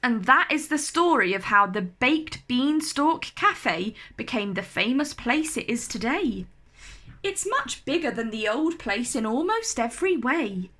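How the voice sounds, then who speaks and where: A woman reading a children's storybook aloud as narrator, with expressive, deliberate delivery. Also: fairly high pitch.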